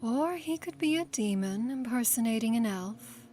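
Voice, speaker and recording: A woman's voice: on the high side, lively intonation, normal speed, darkish tone, voice pushed up, close to an everyday voice. Woman voicing a sneering female death mage. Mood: haughty. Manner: sniffy, sneering.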